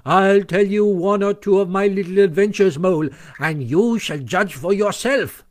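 A man voicing a boastful, excitable toad in a storybook, melodramatic, puffed-up and emphatic. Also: high voice, normal speed, pitched above the performer's own voice. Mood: boastful mock modesty. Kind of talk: storybook character voice.

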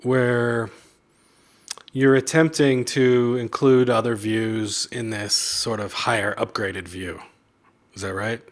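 A man's voice: mid-range voice, fairly even intonation, slow pace. Casual, joking man hosting a chat. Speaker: host of a chat show. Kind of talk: casual video chat interview.

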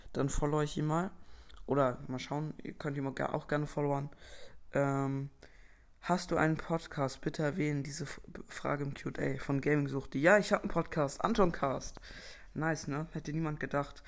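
A young teenage boy from Berlin hosts his own podcast, working through listener questions one by one. His voice sits a little high, and he rambles casually, full of fillers and slangy asides. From Berlin, Germany. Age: young teen boy, entering eighth grade. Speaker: podcast host. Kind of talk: casual Q&A, reading out listener comments.

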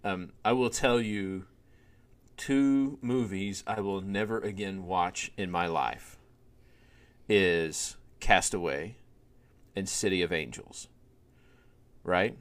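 A man with a fairly low voice hosts a podcast, spinning stories with many "um"s and lively bursts of emphasis. He sounds middle-aged and talks loosely, the way a wedding officiant chats with a friend. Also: slow pace, pauses often. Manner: animated storyteller, many fillers. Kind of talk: conversational podcast storytelling.

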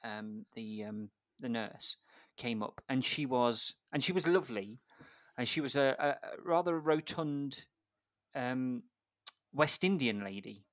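A man's voice: medium pitch, animated, unhurried, a bit bright, no echo, studio quality. A man telling an embarrassing personal story in casual chat, laughing at himself along the way. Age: adult. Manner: self-mocking, laughing often through the tale. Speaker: guest storyteller. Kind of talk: casual anecdote.

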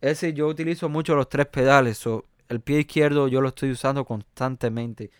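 Male jazz pianist, Cuban accent, resonant voice, halting conversational delivery. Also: mid-range voice, clean tone, no echo, clean studio sound. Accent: Cuban Spanish. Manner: hesitant, many fillers and restarts. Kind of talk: conversational interview.

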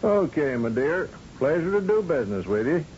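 An actor playing a shrewd, folksy, commanding space colony governor in an old-time radio drama, with hesitant asides. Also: male voice, on the high side, very animated, normal speed, a little mellow. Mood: folksy, genial. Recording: muffled radio drama recording, music, noise.

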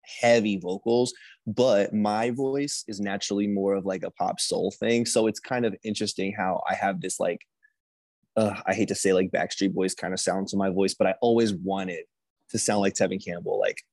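A man talking about his singing in a casual conversation, soft-spoken and self-deprecating, with plenty of fillers. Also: low-pitched, fairly even intonation, normal speed, no room sound. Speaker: singer guest.

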